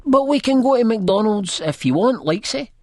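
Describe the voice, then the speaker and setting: An actor playing a rambling, good-hearted, drug-addled man from Leith with a husky Edinburgh accent, telling his story in an audiobook. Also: sits high, expressive, normal speed, darkish tone, no room sound, studio quality. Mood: excited, pleading. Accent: Edinburgh Scottish. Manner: colloquial, rambling, peppered with "man". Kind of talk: first-person audiobook narration, acted in character.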